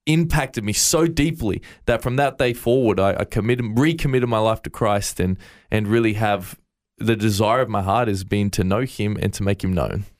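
A man's voice: lowish voice, moderate pace. A man with an Australian accent, a guest in an interview, earnestly recounting his faith story with frequent stammered restarts. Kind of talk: interview, personal testimony.

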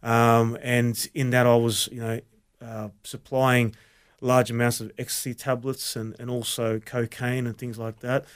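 An Australian man with a smooth, clear voice tells his story in an interview. He speaks earnestly at a middle pitch, halting and circling back as he searches for words. He is a pastor sharing his testimony. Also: level tone. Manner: earnest, halting, many restarts. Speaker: associate pastor, guest.

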